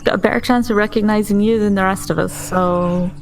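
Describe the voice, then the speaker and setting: A woman's voice: medium pitch, steady tone, a little fast, slight background noise, poor audio. A woman playing a tabletop roleplaying game, speaking casually as her character. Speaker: player.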